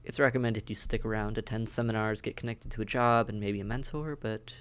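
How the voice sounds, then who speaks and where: A man's voice: lowish voice, fairly even intonation, a little fast, clean tone, close to an everyday voice. A man acting a friendly, dry-witted vampire caseworker in a conversational audio roleplay, wry, understated and matter-of-fact. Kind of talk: conversational audio roleplay, one side of a dialogue.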